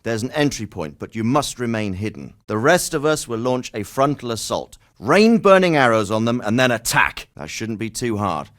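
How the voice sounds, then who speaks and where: A man playing a middle-aged medieval Bohemian lord in a video game, formal, authoritative and fatherly, speaking in measured phrases. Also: mid-range voice, sing-song, fairly bright tone. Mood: commanding, confident. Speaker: nobleman and military leader. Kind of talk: video game dramatic dialogue.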